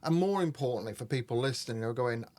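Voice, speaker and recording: A man's voice: medium pitch, animated, quick pace, a little mellow. Brief: male host, affirming and easygoing, loosely phrased conversational questions. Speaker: interviewer, housing association host. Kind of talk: conversational interview.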